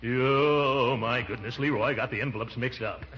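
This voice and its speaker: An actor playing a pompous, bumbling middle-aged uncle in 1940s radio comedy, hemming and theatrical. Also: male voice, medium pitch, fairly bright tone, natural voice. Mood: flustered. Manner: hemming, halting, theatrical delivery. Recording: dull, noisy 1940s radio recording.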